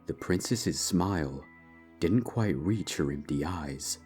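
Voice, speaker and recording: Deep-voiced man narrating a dark story. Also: expressive, slow. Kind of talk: dramatic scripted narration of dark fan fiction. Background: background music.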